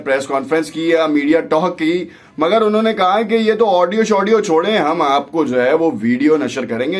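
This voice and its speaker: A man with a Pakistani accent hosting a political vlog, giving conversational news commentary sprinkled with English words. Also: a little high.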